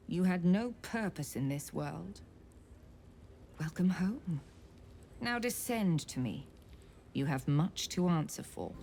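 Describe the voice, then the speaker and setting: A woman playing a haughty, menacing middle-aged mother superior of a dark cult in a fantasy video game, speaking imperiously with a cold, taunting edge. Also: medium pitch. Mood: imperious, gloating, then cold menace. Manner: imperious, deliberate, coldly taunting. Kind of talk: theatrical video game dialogue.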